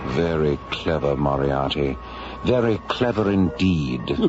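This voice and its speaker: An actor playing a shrewd, self-assured consulting detective in a radio drama, deliberate and a little pompous. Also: male voice, very deep voice, lively intonation, darkish tone, muffled recording, exaggerated voice. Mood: wry, challenging. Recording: band-limited old radio recording over music.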